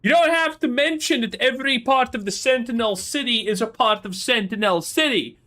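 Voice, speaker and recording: A young man with a bright, sharp-edged voice heckles his co-host in casual chat. He is mocking and exasperated, and his remarks are crude. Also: very high voice, normal speed. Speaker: podcast co-host.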